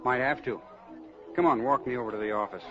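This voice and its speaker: An actor playing a young, broke, wry stunt parachutist in 1940s radio style, with slangy talk. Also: male voice, mid-range voice, fast talker, fairly bright tone, natural voice. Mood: wry, resigned. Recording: dull old 1940s radio recording with music.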